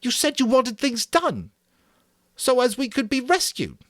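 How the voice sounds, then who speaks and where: An earnest, bespectacled plump schoolboy, as a male narrator puts him on, pitched well above a grown man's voice. He talks eagerly and plods through his matter-of-fact ideas, and his lines bounce up and down. The sound is close and dry. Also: huge pitch swings, measured pace, fairly bright tone, no echo, exaggerated voice.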